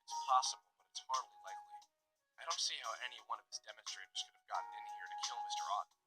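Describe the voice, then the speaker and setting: An actor playing a dismissive, world-weary police detective in a mystery visual novel. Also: male voice, on the low side, lively intonation, quick pace, loud music behind the voice, close to an everyday voice, rough recording. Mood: dry, dismissive.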